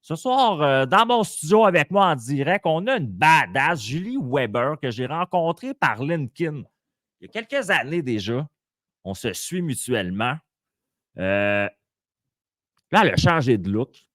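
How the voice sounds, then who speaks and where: A man's voice: a little high, sing-song, measured pace, a bit bright, dry recording, studio quality. Playful Québécois man hosting a podcast. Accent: Québécois French. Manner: playful, exclamatory, teasing. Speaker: podcast host. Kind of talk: lively live podcast interview. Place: small studio.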